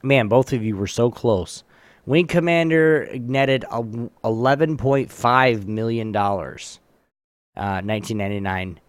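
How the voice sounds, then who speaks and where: A man's voice: mid-range voice, unhurried, no room sound, studio quality. A man casually hosting a trivia game on a live show, speaking informally and hesitantly as he chats and reads out facts. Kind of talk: casual chat, reading trivia aloud.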